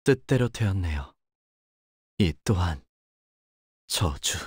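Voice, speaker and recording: Resigned young curse wielder for a game: low, formal, measured, very dry sound. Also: male voice, lively intonation, breathy edge, no echo, heavily acted. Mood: calm, somber. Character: solemn, softly spoken young curse-talisman wielder, resigned and polite. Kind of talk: video game character voice lines.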